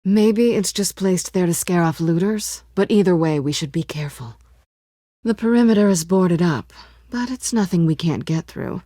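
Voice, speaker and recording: An American woman playing a sly, guarded cat-burglar in a video game, teasing and coolly wry, with a husky, breathy voice. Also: on the low side, expressive, moderate pace, mellow, no room sound, studio quality. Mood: cool, watchful.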